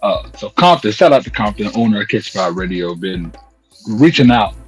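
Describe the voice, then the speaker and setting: A man's voice: fairly low pitch, animated, brisk, poor audio. Slangy man chatting over music. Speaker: radio host being interviewed. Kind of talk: casual interview chat. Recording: music playing behind the voice.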